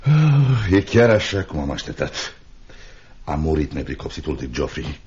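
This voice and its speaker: An actor playing a cold, miserly older uncle in a Romanian radio play, sarcastic and dismissive, muttering repetitions. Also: male voice, a bass voice, big pitch swings, darkish tone, slight background noise. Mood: dry, grumbling. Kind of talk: Romanian radio theatre acting. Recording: dull, band-limited old radio drama recording.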